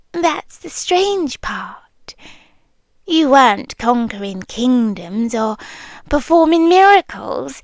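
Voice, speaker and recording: Female performer for ancient yandere monster girl, British accent. Unhurried, wistful, tenderly possessive, breathy whispering, close and very dry ASMR roleplay sound. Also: high-pitched, dry recording, exaggerated voice. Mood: tender, awed. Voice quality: breathy, often dropping into whisper. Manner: hushed, deliberate, wistful storytelling with pauses. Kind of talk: slow intimate ASMR roleplay. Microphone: close, dry microphone.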